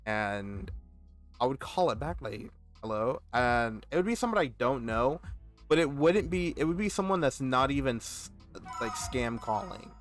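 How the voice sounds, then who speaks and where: A man's voice: medium pitch, moderate pace, soft background music. Young guy ranting casually. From Texas. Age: 20s. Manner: animated, complaining storyteller. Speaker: gaming channel host. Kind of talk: casual rambling chat while gaming.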